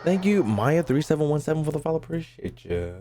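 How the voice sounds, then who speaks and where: A man's voice: on the high side, big pitch swings, fast talker, warm tone, faint music behind. A man giving casual live gameplay commentary as a streamer, slangy, profane and joking. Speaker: game streamer.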